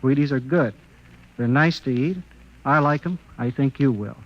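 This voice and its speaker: A man's voice: medium pitch, normal speed. A man with an American accent giving a folksy scripted testimonial on old radio. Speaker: musician endorsing a product. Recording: dull vintage radio recording.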